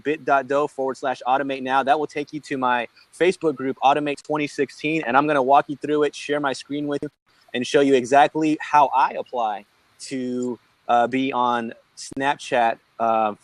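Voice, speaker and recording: A man hosting a group call, promoting enthusiastically and repeating links slowly. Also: mid-range voice, fairly even intonation, dry recording, rough recording. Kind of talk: casual group video call.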